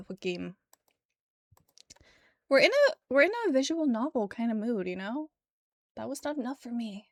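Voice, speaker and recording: A woman livestreamer chatting casually with her viewers in a playful, giggly, expressive way. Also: a little high, measured pace, pauses often, no room sound. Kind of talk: casual chat with stream viewers.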